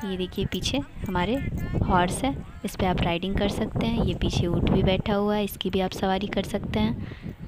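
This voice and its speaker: A woman's voice: mid-range voice, steady tone, normal speed, heavy background noise. Chatty young woman vlogger. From India. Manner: chatty, cheerful, points things out to viewers. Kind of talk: casual travel vlog narration.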